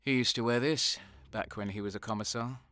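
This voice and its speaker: A man's voice: mid-range voice, fairly even intonation, a little fast, a bit bright, a little hiss or hum, lightly acted. An actor playing a cynical, cold and sadistic soldier who narrates his crimes in a brooding, sardonic way in an audio drama. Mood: brooding, bitter.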